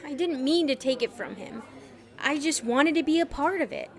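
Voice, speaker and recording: A woman's voice: high-pitched, moderate pace. A woman playing a curious, earnest and insecure stage performer in an audio drama, with a tentative, questioning manner.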